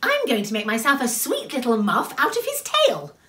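A woman voicing a small, blunt storybook girl with a brisk, matter-of-fact manner. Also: on the high side, huge pitch swings, a bit bright, voice pushed up. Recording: echoey room.

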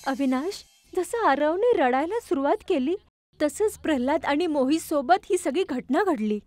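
A woman's voice: high-pitched, lively intonation, normal speed, a little mellow, no echo, faint music behind, voice pushed up. A woman voicing a worried, suspicious young mother, earnest and urgent, in a horror cartoon.

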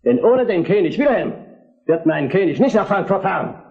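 An actor playing a courtly, formal Saxon minister who takes offence, in a historical radio play. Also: male voice, high-pitched, sing-song, normal speed, gravelly, heavily acted. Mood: indignant. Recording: dull lo-fi drama recording.

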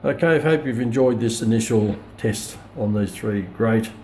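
A man's voice: lowish voice, normal speed. A middle-aged Australian man giving a casual, unscripted product demonstration, hesitant and thinking aloud, recorded on a phone. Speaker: radio hobbyist presenting a video test. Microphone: phone camera held back from speaker. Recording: loud radio static and hiss, phone camera. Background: shortwave radio noise.

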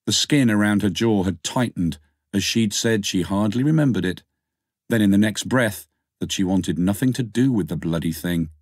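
Low-voiced man narrating, close and dry. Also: moderate pace, dry recording. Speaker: audiobook narrator. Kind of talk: scripted narration of a crime short story.

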